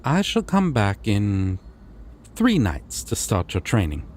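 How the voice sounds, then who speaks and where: A man playing a courteous, solemn god of dreams in a fantasy audio roleplay, with formal, deliberate phrasing. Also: on the low side, wildly swooping pitch, a little mellow, a little hiss or hum, heavily acted. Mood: gracious.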